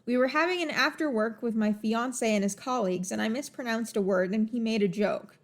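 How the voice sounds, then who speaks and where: A woman's voice: a little high, a little fast. A woman guesting on a casual podcast, talking emphatically and laughing easily.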